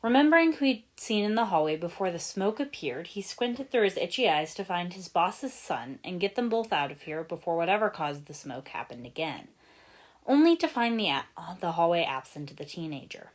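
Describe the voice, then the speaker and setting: Expressive woman reading a story aloud. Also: on the low side, moderate pace. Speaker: fan fiction reader. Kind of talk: expressive scripted story narration.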